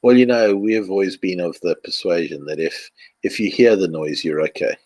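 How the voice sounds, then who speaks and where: A man's voice: deep voice, fairly quick. A man with a South African accent, telling stories in a casual podcast chat and laughing often. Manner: animated storyteller, laughs often. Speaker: co-host.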